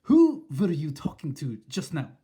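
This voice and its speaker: A man voicing an imperious, cruel old witch leader who snaps her orders in a loud, harsh voice. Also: on the high side, very animated, measured pace, husky, pitched above the performer's own voice, exaggerated voice. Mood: snapping.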